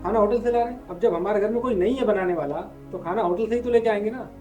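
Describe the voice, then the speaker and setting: A man's voice: high-pitched, fast, mellow, muffled recording, soft background music, natural voice. A man playing an insolent, bullying young tenant in a melodramatic short film.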